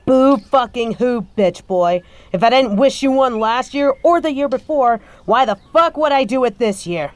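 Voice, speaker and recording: A woman's voice: a little high, animated, moderate pace, few pauses, no echo, lightly acted. A woman playing a bitter adult daughter confronting her stepfather in an audio roleplay, scathing, profane and confrontational. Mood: contemptuous, then scornful, angry. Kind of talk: scripted audio roleplay monologue.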